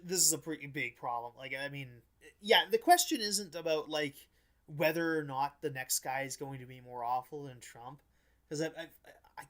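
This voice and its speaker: A man hosting a podcast, chatting casually about politics in a blunt, halting way, often restarting and correcting himself. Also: mid-range voice, a bit bright. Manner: halting, frequent false starts and self-corrections, blunt. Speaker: podcast host.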